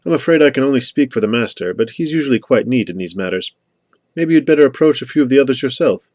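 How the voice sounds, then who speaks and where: A man voicing a loyal, matter-of-fact watchdog in an audiobook, brisk, polite and diplomatic. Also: medium pitch, animated, a little mellow, no echo, natural voice.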